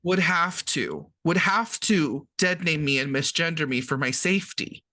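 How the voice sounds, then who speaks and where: A man's voice: a little high, sing-song, unhurried, pushed and bright. A man co-hosting a podcast, explaining terms in casual chat with frequent ums and restarts.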